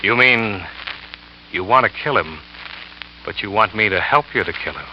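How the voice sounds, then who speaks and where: An actor playing a smooth-talking, scheming salesman who narrates his own story in 1940s radio drama style, boastful and quietly cold. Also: fairly low pitch, lively intonation, normal speed, a little hiss or hum, close to an everyday voice. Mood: cold, calculating. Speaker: narrator and lead character. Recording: dull old radio recording with music.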